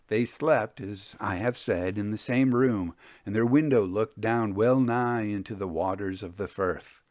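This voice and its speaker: A man hosting and narrating old ghost stories in a measured, theatrical storytelling manner. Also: fairly low pitch, darkish tone, no echo, studio quality. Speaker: host and storyteller.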